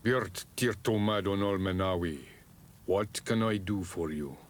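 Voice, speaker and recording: A very slow, solemn island warrior. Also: male voice, low-pitched. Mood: grudging, grave. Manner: deliberate, solemn, ceremonial. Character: solemn, proud native island warrior, grudgingly loyal. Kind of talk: video game cutscene dialogue acting.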